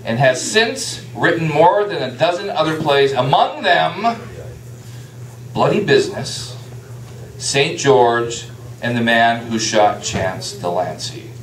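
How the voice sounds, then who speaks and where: A man's voice: medium pitch, lively intonation, takes it slowly, crowd noise. A man hosting a live event, introducing a guest with playful, jokey hype. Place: indoor venue in a restaurant. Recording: roomy live venue, distant mic, faint noise.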